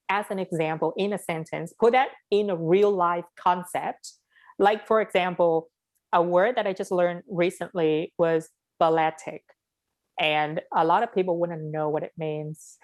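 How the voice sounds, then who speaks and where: A woman's voice: on the low side, a little mellow. A young woman with a Vietnamese accent, speaking frankly and with animation in a casual conversation. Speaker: interviewee.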